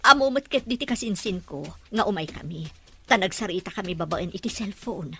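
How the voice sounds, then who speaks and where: A woman's voice: mid-range voice, expressive, a little fast, bright, forceful tone, breathy edge, full character voice. A woman with an Ilocano Filipino accent playing an anxious, loving middle-aged mother in an audio drama. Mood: eager. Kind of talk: radio-drama dialogue.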